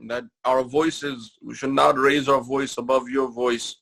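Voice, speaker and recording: A man lecturing in a mosque, his voice gravelly with a mid-range pitch. He speaks slowly and deliberately, repeating key phrases, and he breaks into recited Arabic verses before explaining them in English. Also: level tone, a bit bright. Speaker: imam giving a Ramadan lecture. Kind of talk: sermon-style religious lecture.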